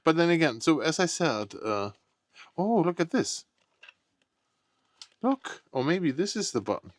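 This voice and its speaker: A man's voice: on the high side, very animated, unhurried. A man giving casual, unscripted unboxing commentary, thinking aloud in short, tentative remarks. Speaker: product unboxing vlogger.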